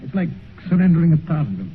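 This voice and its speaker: An actor playing an earnest, sensitive gentleman painter in a 1950s radio play, confiding. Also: fairly high pitch, big pitch swings, fairly quick, phone-quality sound. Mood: reluctant, confiding. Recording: noisy band-limited 1950s radio recording.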